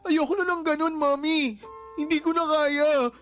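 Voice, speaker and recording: Very high-voiced, vulnerable younger brother. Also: male voice, fairly even intonation, normal speed, fairly bright tone, faint music behind. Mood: frightened, pleading. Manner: pleading, fearful. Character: frightened, traumatized younger brother, pleading and vulnerable. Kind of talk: Filipino radio drama acting.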